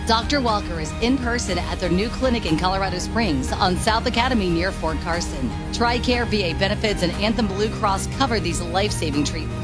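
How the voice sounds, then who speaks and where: Woman reading an ad over loud music. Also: medium pitch. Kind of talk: radio ad read.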